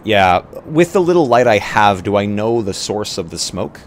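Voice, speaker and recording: A man's voice: lowish voice, sing-song, normal speed, a little hiss or hum. A man taking part in a tabletop roleplay as a player, casually thinking aloud and reacting in short bursts. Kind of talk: casual improvised roleplay chat.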